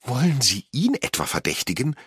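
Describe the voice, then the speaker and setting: A man voicing a distraught, furious mother with loud, accusing outbursts in an audiobook. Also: mid-range voice, sing-song, normal speed, breathy edge, no echo, studio quality, pitched above the performer's own voice, exaggerated voice. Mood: outraged.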